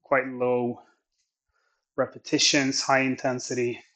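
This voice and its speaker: A man whose first language is Swedish talks in English through his workout. His delivery is unhurried and thoughtful, with many pauses and restarts. His pitch stays flat and even. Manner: slow, thoughtful, halting phrasing. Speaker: athlete training on camera. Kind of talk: reflective training vlog narration.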